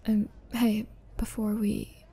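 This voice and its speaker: Casting: actress as a tender, sorrowful young girlfriend, slow halting delivery, soft breathy half-whisper, ASMR roleplay. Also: mid-range voice, flat delivery. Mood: tender, grateful. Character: tender, sad young girlfriend saying goodbye.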